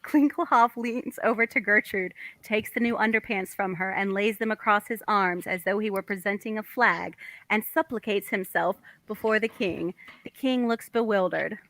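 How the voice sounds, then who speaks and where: A woman's voice: mid-range voice, normal speed, fairly bright tone, no room sound, poor audio. A woman reading stage directions aloud as scripted narration in a table read.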